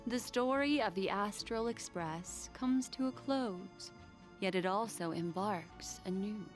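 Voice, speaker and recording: A woman playing a mysterious, soothing and teasing storyteller in a fantasy game, with a deliberate, hushed bedtime-story delivery. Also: mid-range voice. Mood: solemn, poetic. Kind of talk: scripted game narration. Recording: long reverb, music behind. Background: game music.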